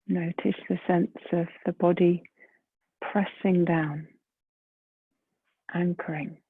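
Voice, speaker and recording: Very slow, breathy woman guiding meditation. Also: lowish voice, steady tone, no room sound. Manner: gentle, unhurried, with long pauses between phrases. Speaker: meditation guide. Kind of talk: guided meditation. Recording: muffled phone-like lo-fi sound.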